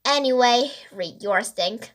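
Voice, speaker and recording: A woman's voice: fairly high pitch, expressive, slow. A woman voicing a bossy, inquisitive young girl detective in a children's storybook, emphatic and questioning. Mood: puzzled.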